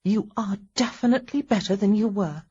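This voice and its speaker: A woman reading a storybook, voicing a tender, flustered young woman with a breathy voice who fusses nervously with a slight tremble. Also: mid-range voice, a little fast, warm tone, muffled recording, voice pushed up. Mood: nervous cheer. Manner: fussing, nervous chatter with a tremble. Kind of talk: audiobook character voice.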